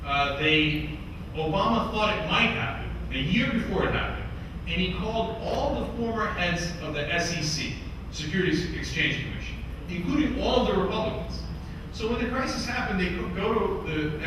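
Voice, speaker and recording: A man with a slightly high voice speaks to an audience, telling stories and acting out the people he quotes. He checks in with the crowd as he goes. The sound comes from a distance in a very echoey hall, with steady background noise. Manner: animated storyteller, rhetorical asides, voices quoted people. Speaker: speaker at a campaign event. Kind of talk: political speech to a live audience. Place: large room with an audience.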